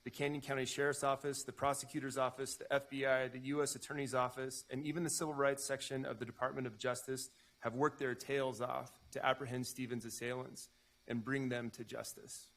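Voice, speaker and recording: Grieving brother, played by a man, staged reading; gravelly, even-pitched, solemn. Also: fairly quick, close to an everyday voice. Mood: solemn, grateful. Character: grieving brother reading a family impact statement in court. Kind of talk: staged reading of a prepared statement.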